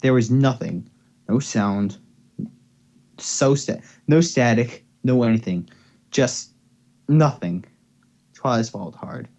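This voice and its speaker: A man's voice: medium pitch, takes it slowly, pauses often, darkish tone. A young man giving a casual amateur reading of a story, playful and stumbling, with offhand asides. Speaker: reader. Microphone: headset or desktop mic on voice call.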